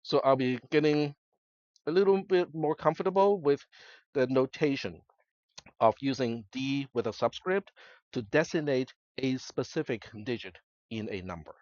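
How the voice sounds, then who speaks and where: A man's voice: mid-range voice, normal speed, a little mellow, no room sound, highs cut off. A man whose first language is Cantonese, giving a classroom lecture in a conversational way and often checking in with the students. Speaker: college instructor.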